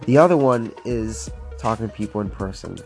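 A man's voice: fairly low pitch, animated, brisk, vocal fry, soft background music. Earnest young man with a motivational podcast. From Florida. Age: 20s. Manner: earnest, upbeat, many fillers like "like" and "um". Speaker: podcast host. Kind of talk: casual motivational monologue.

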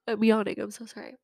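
A woman's voice: medium pitch, vocal fry, a little mellow, dry recording, clean studio sound. A young woman in her twenties hosting a casual chat podcast, sounding nasal as she gets over a cold, chatty and full of fillers. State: sick, recovering from a cold. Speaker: podcast host.

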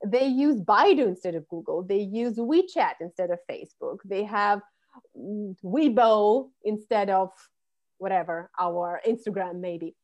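A woman's voice: medium pitch, lively intonation, unhurried, darkish tone. A woman, a public speaking coach who explains things fluently in an interview. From Russia. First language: Russian. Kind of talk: podcast interview.

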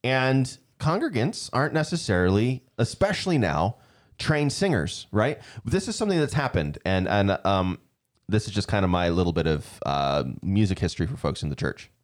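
A man hosting a casual conversation about church music, animated and quick to laugh. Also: fairly low pitch. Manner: animated, laughs often, restarts sentences. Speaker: podcast host, church leader.

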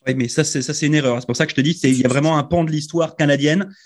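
European-born radio host, fairly high voice, passionate and halting. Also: male voice, fairly even intonation. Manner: emphatic, hesitant with many fillers. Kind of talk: radio panel discussion. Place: radio studio.

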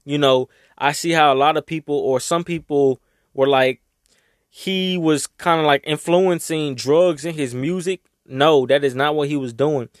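A young man talking casually and emotionally to his viewers, rambling and swearing, his voice raspy from crying. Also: on the high side, unhurried, no echo, clean studio sound. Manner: rambling, swearing, heartfelt, Southern US slang. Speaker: vlogger. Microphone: own microphone.

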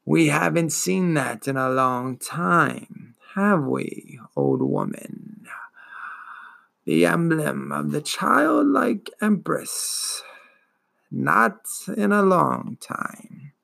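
An ancient swamp turtle, female and worn out by endless years, wheezes and gurgles through every word, as a man puts her on. Her speech crawls along, slow and drawn out, and gravelly fry rumbles beneath it. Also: very animated, a bit bright, exaggerated voice. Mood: weary, indifferent.

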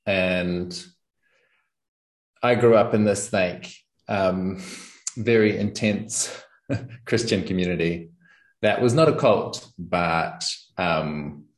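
A young man, talking casually and thoughtfully as an interview guest, often pausing with ums and small laughs. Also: sits low. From New Zealand. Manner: thoughtful, searching for words, frequent ums and laughs. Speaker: podcast guest and channel host.

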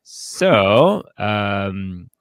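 A man with a fairly low voice hosts a podcast in a casual, conversational style. He is playful and jokey as he talks. The sound is dry and close, with little room around it. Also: very animated, extremely slow, clean tone, no echo. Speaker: podcast host.

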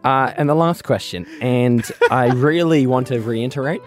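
A man co-hosting a radio show in a studio, his voice hoarse from a flu, playful and teasing with frequent laughs. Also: mid-range voice, normal speed, soft background music. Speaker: radio co-host. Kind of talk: casual radio banter.

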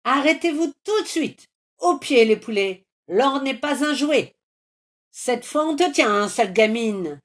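A woman voicing gruff, greedy male troll monsters in a storybook reading, shouting threats. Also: fairly high pitch, a little slow, pitched above the performer's own voice, natural voice. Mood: furious. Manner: loud snarling threats.

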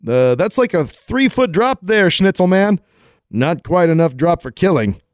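An actor playing a sarcastic, folksy, unflappable adventurer hero in a comic 1940s-style radio play. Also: male voice, high-pitched, sing-song, measured pace, no echo, studio quality. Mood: wry.

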